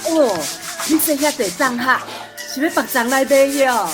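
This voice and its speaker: A woman's voice: sits high, lively intonation, normal speed, music in the background. An actress playing a nosy, agreeable village neighbour woman with a Taiwanese accent in a radio drama, chatty and full of interjections. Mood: curious.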